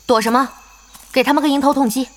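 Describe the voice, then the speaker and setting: A woman's voice: high-pitched, fairly even intonation, quick pace, natural voice. A woman acting in an audio drama as a feisty, eager young female gamer who speaks directly. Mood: impatient, combative.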